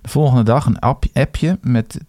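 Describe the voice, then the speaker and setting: A man co-hosting a podcast in casual chat, measured and agreeable, with short affirmations and some hesitant restarts. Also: mid-range voice, warm tone, dry recording, clean studio sound. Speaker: podcast co-host.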